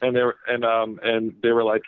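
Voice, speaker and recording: A man's voice: medium pitch, completely flat delivery, a little fast, clear, resonant tone, dry recording, narrow, tinny audio. A man from Florida, a guest author, chatting casually and laughing easily in a radio interview.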